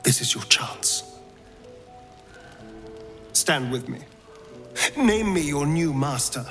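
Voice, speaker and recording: An actor playing a vengeful, grandiose vampire spawn in a video game, speaking dramatically and persuasively. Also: male voice, a little high, lively intonation, slow, fairly bright tone, soft background music, full character voice. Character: vengeful, theatrical vampire spawn man plotting to usurp his master. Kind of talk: video game cutscene acting.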